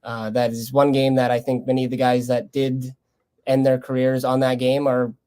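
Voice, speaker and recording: A young Canadian man speaks in a mid-pitched voice as a guest in a casual sports interview. His phrasing is loose and conversational, and he comes across as earnest. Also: completely flat delivery, normal speed, dark tone, clear, resonant tone. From Lethbridge, Canada. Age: young adult. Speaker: college baseball player being interviewed.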